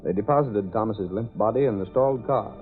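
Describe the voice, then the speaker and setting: Man, radio serial narrator, low pitch, scripted, tinny narrow old recording. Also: a little fast, soft background music. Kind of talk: 1930s-40s radio drama narration.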